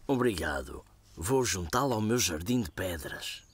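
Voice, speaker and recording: A kind, playful cartoon grandpa. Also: male voice, low-pitched, big pitch swings, a little slow, slight background noise. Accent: European Portuguese. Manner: gentle, encouraging. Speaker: voice actor.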